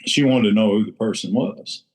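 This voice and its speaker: Brief: salty, emphatic man with a low voice, sharing in a recovery group. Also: normal speed, poor audio. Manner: blunt and salty, swears freely; emphatic, repeats for effect. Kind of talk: casual share in a recovery roundtable.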